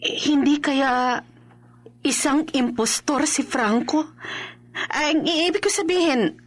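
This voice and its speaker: A woman's voice: high-pitched, takes it slowly. A woman playing a worried young mother and wife in a horror radio drama, earnest and hesitant. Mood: worried, suspicious. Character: anxious young mother and wife growing suspicious of her husband.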